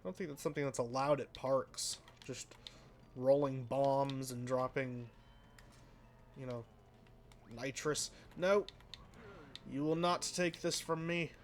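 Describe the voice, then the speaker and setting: A man casually commenting while he plays a racing game, rambling and self-deprecating, with a flat, slightly nasal voice that trails off into mumbles. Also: medium pitch, slow, long pauses, a little hiss or hum. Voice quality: sounds flat and a bit nasal, by his own account. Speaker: let's play host.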